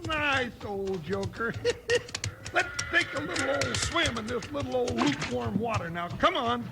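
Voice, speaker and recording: A man playing a comic, grumbling, excitable cowboy sidekick with a folksy Western drawl in a 1950s radio western. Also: measured pace, creaky, exaggerated voice. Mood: coaxing. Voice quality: high, folksy voice. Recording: noisy old 1950s radio recording.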